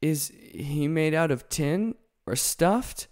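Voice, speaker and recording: A man's voice: a little high, expressive, slow pace, darkish tone, pitched above the performer's own voice. A man voicing a big cowardly male lion in a storybook reading, meek and ashamed. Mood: timid.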